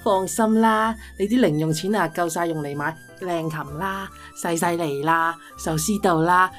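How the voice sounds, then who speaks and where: A woman's voice: fairly low pitch, moderate pace, faint music behind, natural voice. A woman with a Hong Kong accent playing a coy, breezily domineering spendthrift wife in a comedic radio drama. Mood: breezy, bossy.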